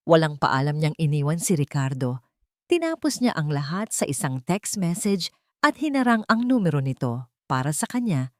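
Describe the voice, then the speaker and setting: Lowish-voiced woman narrating dramatic romance. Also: darkish tone, no echo, studio quality. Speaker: story narrator.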